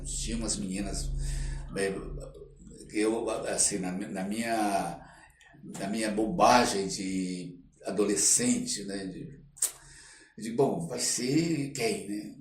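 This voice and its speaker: An older man with a Brazilian accent, telling anecdotes as an interview guest in a halting, reflective, casual way. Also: on the low side, lively intonation. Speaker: interview guest, actor and screenwriter. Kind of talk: casual podcast storytelling. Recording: roomy echo, distant microphone.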